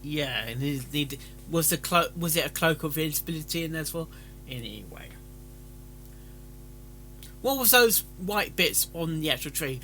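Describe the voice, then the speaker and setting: A man's voice: on the high side, animated, moderate pace, pauses often, pushed and bright, soft background music. A man giving rambling, casual commentary as a stream host, stammering and often repeating words as he goes. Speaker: host of a fan fiction reading stream.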